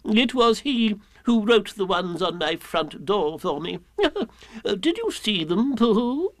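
Pompous, wordy storybook owl: high, swooping pitch, slow and fussy delivery, dry close recording. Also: male voice, very animated, fairly bright tone, dry recording, exaggerated voice. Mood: self-important. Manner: pompous, formal, drawn-out delivery.

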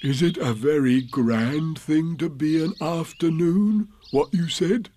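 A man voicing a small, slow-witted, devoted toy bear in a storybook, speaking in a hesitant, wondering way. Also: a little high, mellow, a little hiss or hum, voice pushed up. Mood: puzzled, curious.

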